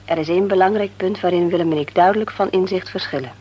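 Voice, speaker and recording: Actrice als bezorgde, gelovige moeder, ruisende hoorspelopname. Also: female voice, on the low side, fast talker, muffled recording, lightly acted. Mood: earnest, confiding. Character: devout, anxious mother of a sick child. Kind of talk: Dutch radio play acting. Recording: noisy old radio play recording.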